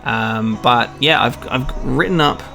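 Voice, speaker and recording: A man's voice: mid-range voice, slow pace, gravelly, sharp tone. A man in his twenties with an Australian accent chats casually to his channel's subscribers in an earnest, hesitant way. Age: 20s. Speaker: YouTube gaming channel creator. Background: music with guitar.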